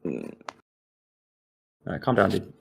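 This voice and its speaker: A young man streaming a game live, reacting excitably and swearing as he plays. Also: deep voice, unhurried, gravelly, a little hiss or hum. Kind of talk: live gaming commentary.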